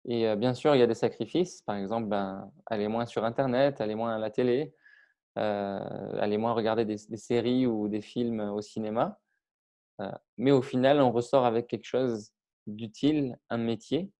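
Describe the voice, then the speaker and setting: A man's voice: medium pitch, steady tone, moderate pace, mellow. A middle-aged man from Iran, a public speaking trainer, talking casually with many hesitations and restarts. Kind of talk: casual interview on video call.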